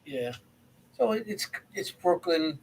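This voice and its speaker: A man's voice: a little high, lively intonation, takes it slowly, gravelly, slight background noise, low-quality recording. A man from Queens with a New York accent co-hosting a casual chat, laid-back and wry. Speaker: podcast co-host.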